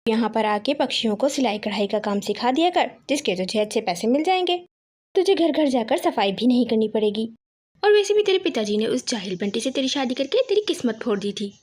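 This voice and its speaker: Woman as a high-voiced supportive sparrow friend. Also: expressive, fairly quick, a little mellow. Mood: reassuring. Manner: reassuring, advising.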